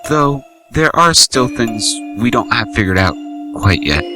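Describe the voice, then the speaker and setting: A man acts as a reserved, sharp-tongued teenage boy in an anime-style radio play. His mid-range voice stays composed and curt. Soft music sits behind the words. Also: mid-range voice, sing-song, a little slow. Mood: reflective. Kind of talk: amateur anime-style radio drama acting.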